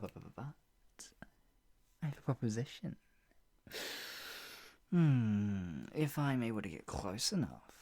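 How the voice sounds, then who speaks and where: Woman playing a very deep-voiced, sadistic yandere captor. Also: warm tone, heavily acted. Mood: scheming, coaxing. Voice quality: husky, often dropping to a breathy whisper. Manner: taunting, swinging from mock pity to cold threat. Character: menacing, sadistic yandere captor, obsessive and mocking. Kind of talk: ASMR roleplay, improvised monologue.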